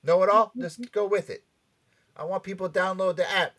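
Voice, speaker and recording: A man's voice: high-pitched, fairly even intonation, a little fast, fairly bright tone. A man hosting a pop culture radio show, chatting casually and promoting events in a playful, teasing way.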